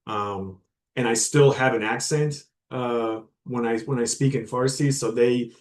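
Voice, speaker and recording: A man's voice: mid-range voice, fairly even intonation, unhurried. Casual man giving a university talk. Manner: casual and conversational, with many fillers and repeated words; explains terms plainly for the audience. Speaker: guest lecturer. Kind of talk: informal university lecture to students.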